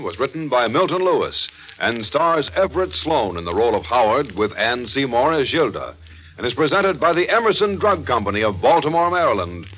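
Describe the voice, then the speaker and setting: A man's voice: medium pitch, breathy edge. A man announcing and reading a sponsor's advertisement in polished, emphatic 1940s radio style. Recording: muffled 1940s radio, faint music.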